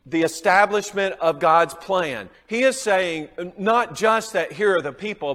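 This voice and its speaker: A man's voice: high voice, fairly bright tone, long reverb tail. A man with a Southern US accent teaching a Bible class, chuckling often and quizzing his students.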